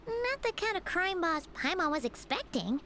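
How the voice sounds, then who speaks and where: A woman voicing a small, naive, chirpy fairy companion in a video game, with a squeaky childlike voice and cutesy manner. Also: big pitch swings, normal speed, pushed and bright, a little hiss or hum, full character voice. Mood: puzzled. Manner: refers to self in third person, cutesy.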